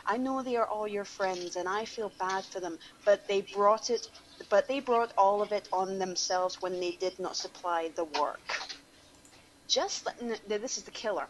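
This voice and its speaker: A woman's voice: medium pitch, normal speed. A woman on an online radio show giving a mocking dramatic reading, with sarcastic asides. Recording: noisy room ambience behind voice.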